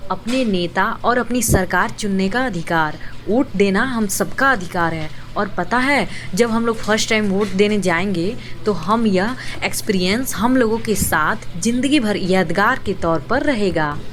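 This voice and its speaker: A woman's voice: mid-range voice, animated, a little slow, few pauses, fairly bright tone, soft background music. A woman playing a sensible, well-informed young working woman with worries in a scripted radio drama, explaining things earnestly and bossing her friends. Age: young adult.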